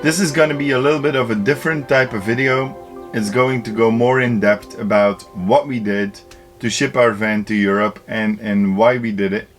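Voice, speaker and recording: Man in his 40s from belgium, chatty vlog talk, mid-pitched voice, background music. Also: steady tone, moderate pace. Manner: chatty, upbeat, many restarts and fillers. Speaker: travel vlogger. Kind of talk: casual vlog to camera. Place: home kitchen and inside a camper van. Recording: music clearly under voice.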